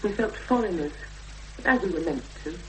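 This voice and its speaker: A woman's voice: on the low side, lively intonation, moderate pace, a bit bright. An actress playing an earnest, uneasy young English woman in a 1970s British radio drama, speaking with hesitant intensity. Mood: sombre, reflective. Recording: noisy, humming 1970s radio drama recording.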